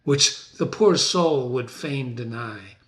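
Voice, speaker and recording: A man playing a defiant, world-weary tyrant king in a dramatic reading of Shakespearean verse, commanding and given to sudden shouts. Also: medium pitch, lively intonation, slow, pitched below the performer's own voice. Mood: bleak, weary.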